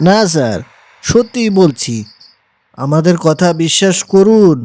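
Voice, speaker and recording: A man's voice: sits high, lively intonation, measured pace, a little mellow. A man voicing a deferential, easily spooked junior policeman on night patrol in a horror cartoon. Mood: alarmed pleading.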